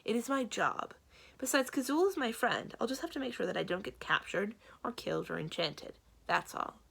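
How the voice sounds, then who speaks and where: A woman voicing a headstrong, capable young princess in a storybook, brisk and self-assured, quick to retort. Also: a little high, animated, creaky, fairly bright tone, breathy edge, voice pushed up, exaggerated voice. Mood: earnest, determined. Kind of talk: storybook read-aloud.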